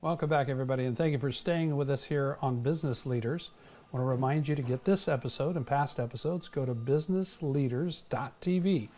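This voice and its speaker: Mellow-voiced male talk show host. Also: mid-range voice, brisk. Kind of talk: interview and show announcements.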